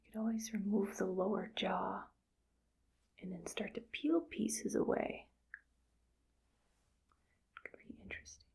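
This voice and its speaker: A woman voicing a callous female mad scientist in an ASMR roleplay, whispering softly in a slow, coldly matter-of-fact way. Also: medium pitch, fairly even intonation, creaky, slightly rough, full character voice. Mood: cold musing. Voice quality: soft whisper. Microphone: close sensitive mic.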